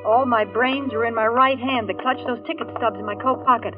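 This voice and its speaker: A woman acting as a young American dance-hall girl turned amateur sleuth in an old radio drama. She is plucky and quick with a wisecrack, heard through thin, narrow broadcast sound over prominent music. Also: on the high side, steady tone, lightly acted. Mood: tense narration.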